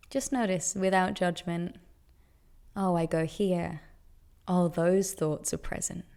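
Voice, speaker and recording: Female meditation guide: lowish, gravelly with vocal fry, very even delivery, gentle and deliberate. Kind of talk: guided meditation, soothing instruction.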